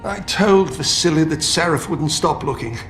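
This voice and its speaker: An actor playing a weary, kindly older scientist in a video game, with an expressive, avuncular manner. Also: male voice, fairly high pitch, moderate pace. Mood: weary, troubled. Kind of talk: video game dialogue acting. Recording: roomy echo, faint music behind.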